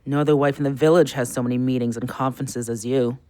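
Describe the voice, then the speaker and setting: An actor playing a jealous, bitter, hard-drinking husband in an audio drama, with a taunting, sarcastic manner. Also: male voice, medium pitch, steady tone, fast talker, a little mellow, smooth, no room sound, close to an everyday voice.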